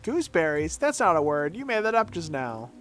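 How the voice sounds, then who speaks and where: A man's voice: fairly high pitch, big pitch swings, brisk, a bit bright, soft background music. A man voicing a bratty, mocking child who guards a playground world, with singsong teasing.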